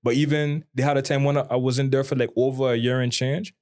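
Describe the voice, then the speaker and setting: A man's voice: mid-range voice, level tone, fast, darkish tone. A man from Saint Martin with a Caribbean accent, chatting casually and reflectively in informal slang as a podcast co-host. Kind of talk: casual conversation.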